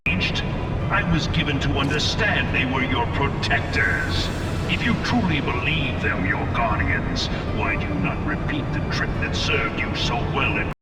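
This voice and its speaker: An actor playing an imperious, contemptuous warlord villain in a video game, speaking in grave, formal, archaic oratory. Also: male voice, medium pitch, moderate pace, close to an everyday voice. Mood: mocking, probing. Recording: cavernous reverb, distant, under loud music.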